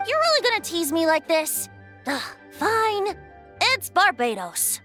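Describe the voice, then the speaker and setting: A woman's voice: very high-pitched, very animated, measured pace, pushed and bright, faint music behind, full character voice. A woman voicing a carefree, teasing boyish young bard god in an anime game, playful and breezy.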